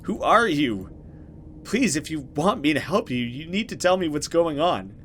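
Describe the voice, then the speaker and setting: A man acts out a frightened young woman in a horror game. The pitch is pushed up and the delivery is urgent and anxious, pleading for answers over slight background noise. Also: sing-song, normal speed, a bit bright. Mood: anxious questioning.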